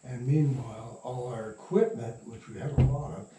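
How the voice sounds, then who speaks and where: An older man telling anecdotes casually as an interview guest, in a rambling, halting way, recorded in a roomy space. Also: medium pitch, background noise. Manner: rambling, halting, chuckling raconteur. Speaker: interview guest, radio producer and sound recordist. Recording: roomy echo, distant from mic.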